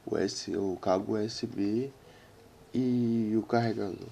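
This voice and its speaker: A man's voice: lowish voice, steady tone, slow, creaky, dark tone. A Brazilian man giving a casual, slangy customer review on video, repeating himself as he goes. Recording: faint background noise, clicks.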